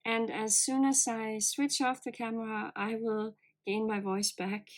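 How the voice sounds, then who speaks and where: A woman giving a spiritual oracle card reading to her viewers, in a calm, earnest and gently encouraging way. Her voice is low and a little hoarse, as if she has a cold. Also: unhurried.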